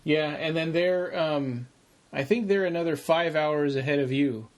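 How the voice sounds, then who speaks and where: A male podcast co-host speaks in a slightly high voice. His delivery is informal and conversational, like relaxed talk among co-hosts. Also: measured pace. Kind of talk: casual chat.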